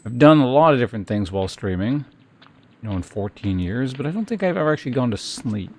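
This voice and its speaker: A man giving casual game commentary as a streamer, musing in an unhurried, hesitant way and reading game text aloud. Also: medium pitch, big pitch swings, slight background noise. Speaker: live streamer playing a video game.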